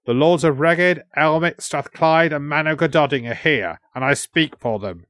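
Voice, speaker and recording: Taunting warrior herald, played by a male narrator, declaiming a king's demands in a storybook, raised pitch, dry sound. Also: moderate pace, a bit bright, dry recording, lightly acted. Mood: commanding.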